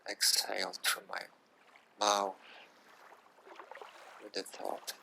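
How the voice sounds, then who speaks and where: Slow, low-voiced man guiding relaxation. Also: monotone, creaky, a little hiss or hum. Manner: hushed, soothing, short phrases with long pauses. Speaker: yoga nidra guide. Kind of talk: guided relaxation meditation.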